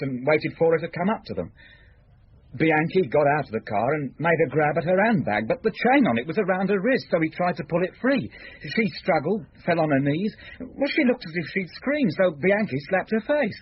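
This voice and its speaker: Husky, uneasy bartender, muffled recording. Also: male voice, a little high. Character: shady, uneasy male bartender confessing as accomplice. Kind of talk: radio drama acting. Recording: muffled old radio broadcast.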